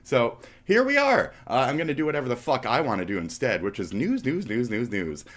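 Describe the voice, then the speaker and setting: A man's voice: medium pitch, very animated, a little fast, fairly bright tone. A man hosting a live stream, stuffy from a snotty nose, in a profane, rambling talk that slides into half-rapped riffs. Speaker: streamer host. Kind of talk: casual live-stream rant.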